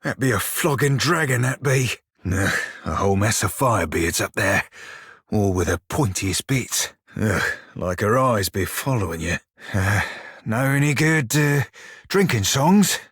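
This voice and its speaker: Game role: roguish furry sailor, husky growl, bawdy and sardonic, mid pitch, close dry sound. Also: male voice, a bit bright, no echo, heavily acted. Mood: wary, sarcastic. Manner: salty, colloquial pirate talk, wry and crude. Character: roguish, bawdy, sardonic blue-furred pirate sailor creature. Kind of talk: video game character dialogue and barks.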